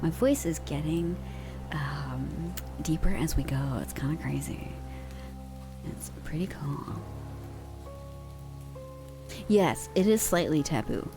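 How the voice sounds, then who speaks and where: A woman's voice: sits low, lively intonation, slow pace, pauses often, over music. A young woman streamer chatting casually with her viewers in a slangy, laid-back way, using pet names, on a close microphone.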